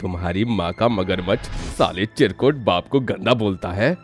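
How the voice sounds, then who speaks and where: A man's voice: low-pitched, moderate pace, soft background music, natural voice. A man voicing a rude, drunken, bullying father in a cartoon, with a slangy taunting manner.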